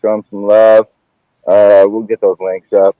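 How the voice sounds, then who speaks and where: A man's voice: lowish voice, flat delivery, a little slow, no room sound, muffled recording. A man hosting a casual livestream chat, talking in a laid-back way and trailing off, recorded on a phone. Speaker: host.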